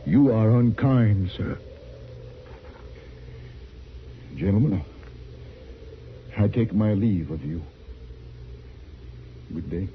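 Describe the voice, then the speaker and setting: A man's voice: fairly low pitch, animated, takes it slowly, long pauses, phone-quality sound. A man acting a lonely, eloquent old traveling professor in a radio western, speaking with wounded, formal theatrical dignity. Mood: hurt dignity. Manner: theatrical, formal, plaintive oratory. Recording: thin, faintly noisy old radio recording.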